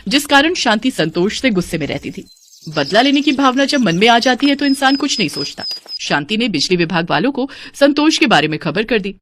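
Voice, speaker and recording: A woman's voice: medium pitch, fairly bright tone, dry recording. A woman narrating a scripted moral story as the storyteller.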